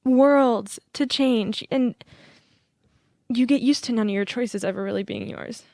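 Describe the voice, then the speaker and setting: A woman playing a hurt grown daughter of a politician in an audio play, with an emotional, halting, naturalistic delivery. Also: fairly high pitch, mellow, no echo, studio quality. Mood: anguished, accusing.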